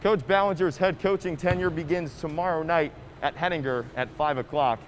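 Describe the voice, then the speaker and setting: Male news reporter, scripted delivery, slightly high pitch, light background noise. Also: a little fast.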